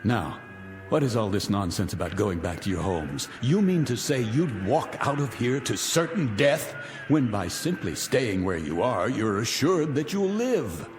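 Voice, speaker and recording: A man's voice: on the low side, very animated, measured pace. A middle-aged actor playing a vindictive rich man taunting his guests in 1960s television drama style, mocking and grandiloquent. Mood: smooth, imperious. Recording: vintage TV soundtrack, reverberant, music behind.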